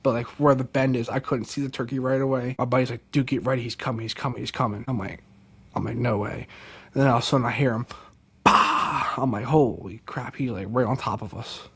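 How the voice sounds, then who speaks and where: A young man from Delaware, a podcast guest, telling a story casually and excitedly, with lots of "like" and "dude". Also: mid-range voice, brisk, slightly rough. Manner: animated, many fillers like "like" and "dude".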